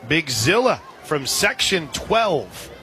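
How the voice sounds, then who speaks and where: Higher-voiced man calling softball over crowd noise. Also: very animated, slow pace. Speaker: radio play-by-play announcer. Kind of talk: live softball play-by-play commentary. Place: outdoor softball stadium broadcast position. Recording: outdoor ballpark, clear crowd noise behind.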